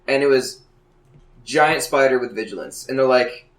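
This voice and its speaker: A man talking casually as a podcast panelist about a hobby, telling stories in a halting, self-correcting way. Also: medium pitch. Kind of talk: casual hobby chat about a card game. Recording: roomy, echoing room sound.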